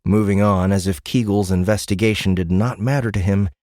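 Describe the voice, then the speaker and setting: A man reading scripted dramatic audiobook narration in a measured, suspenseful manner. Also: lowish voice, fairly even intonation, darkish tone, no room sound, studio quality.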